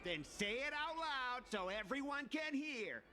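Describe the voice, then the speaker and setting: A man's voice: very high voice, very animated, a little slow, pushed and bright, slight background noise, full character voice. A man voicing a belligerent, scornful troublemaker in a video game, sneering and accusatory. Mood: accusing, angry. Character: belligerent, scornful male troublemaker stirring a crowd. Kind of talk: video game character acting.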